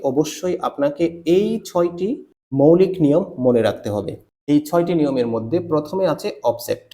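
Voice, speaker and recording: A man with a Bangladeshi accent giving a scripted grammar lesson as a teacher, deliberate and instructive, stressing key terms. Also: a little high, dark tone.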